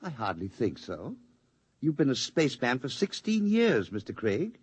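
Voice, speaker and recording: An actor playing a stern, skeptical military psychologist captain, measured and condescending, in 1950s radio drama style. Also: male voice, medium pitch, huge pitch swings, husky, dry recording. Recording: muffled old radio recording.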